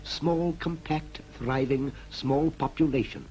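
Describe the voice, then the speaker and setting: An actor with a British accent playing a brisk, persuasive town planner in a 1940s animated public information film, clipped and instructive. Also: male voice, medium pitch, natural voice, low-quality recording. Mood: brisk, explanatory. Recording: vintage 1940s film soundtrack with music.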